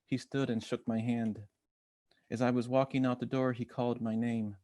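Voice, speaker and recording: An American man reading a personal essay aloud in measured literary narration, voicing bits of quoted dialogue as he goes. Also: mid-range voice, flat delivery, warm tone, dry recording. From Chicago, United States. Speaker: guest essayist reading own work.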